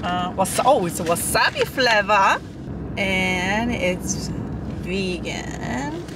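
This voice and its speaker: A woman's voice: mid-range voice, expressive, takes it slowly, distant mic. A woman in her thirties, a vlogger chatting casually while tasting snacks in a parked car, giggly and reacting as she eats. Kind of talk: casual car mukbang chat. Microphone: camera on dashboard. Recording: loud car background noise.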